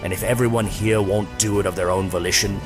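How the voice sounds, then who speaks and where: Man as grandiose low-voiced space villain. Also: steady tone, quick pace, music in the background, natural voice. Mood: grandiose, bitter. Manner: grandiose, menacing, theatrical monologuing. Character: nefarious, gaunt, wizened male space-count villain. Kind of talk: comedic radio serial villain acting.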